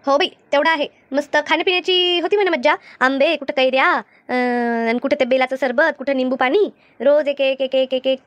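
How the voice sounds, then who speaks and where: A woman voicing a lively, teasing village friend in an animated comedy, with a rural Maharashtrian accent. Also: sits high, brisk, muffled recording, a little hiss or hum, natural voice. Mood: agreeable. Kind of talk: animated cartoon comedy dialogue.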